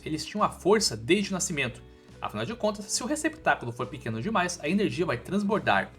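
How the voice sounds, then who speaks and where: A man's voice: on the high side, sharp tone. A man with a Brazilian accent narrating a scripted story recap in a colloquial, informal way with casual asides. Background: background music.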